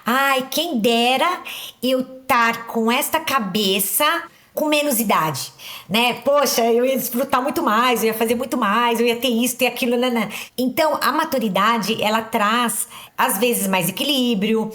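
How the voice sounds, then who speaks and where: A woman's voice: on the high side, a little slow, fairly bright tone. A woman in her fifties, a podcast co-host, chatting casually about her own experience of aging. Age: 50s. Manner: chatty, frequent fillers like "né". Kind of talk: casual personal reflection.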